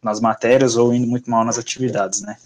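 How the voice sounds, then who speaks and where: A young man, hosting a student podcast in a relaxed, friendly way. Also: mid-range voice, brisk, slight background noise. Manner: relaxed, friendly sign-off patter. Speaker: podcast host.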